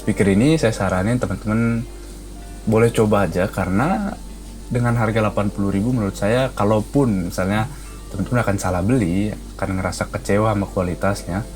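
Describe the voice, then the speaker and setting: A man's voice: on the low side, steady tone, brisk, darkish tone, soft background music. A man with an Indonesian accent giving a casual, chatty gadget review to viewers, explaining things informally. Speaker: product reviewer.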